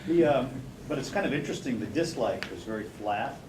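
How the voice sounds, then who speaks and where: A man's voice: medium pitch, animated, brisk. Curious audience man, distant and echoey. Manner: casual, curious questioning. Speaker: audience member asking questions. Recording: echoey, distant, noisy room.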